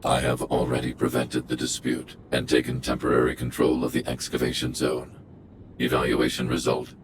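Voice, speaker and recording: An actor playing a cold, calculating giant guardian robot in a video game, speaking in a formal, emotionless, machine-like way. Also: male voice, a bass voice, monotone, moderate pace, noisy background. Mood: cold, analytical.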